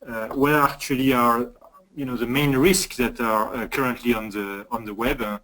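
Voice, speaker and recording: A man presenting as a cybersecurity manager in a webinar, speaking haltingly with many hesitations. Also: mid-range voice, fairly even intonation, a bit bright, rough recording.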